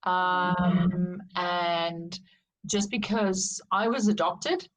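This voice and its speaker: A woman's voice: fairly low pitch, fairly even intonation, very slow, rough recording. A middle-aged woman with a South African accent, candidly and reflectively telling her life story as an interview guest. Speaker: podcast guest.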